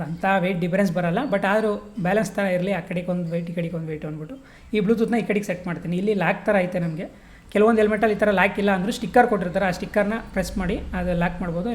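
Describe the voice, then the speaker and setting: A woman's voice: on the low side, fairly even intonation, fast talker, darkish tone. A woman giving a casual step-by-step tutorial, colloquial and mixing in English words. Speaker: vlogger demonstrating gear installation.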